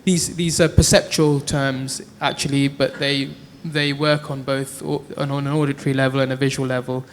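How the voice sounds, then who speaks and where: A man's voice: mid-range voice, little pitch movement, moderate pace, few pauses. A man, an artist, talking at a public panel, hesitant with many stumbling restarts. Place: art gallery talk before an audience. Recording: echoey gallery with background noise.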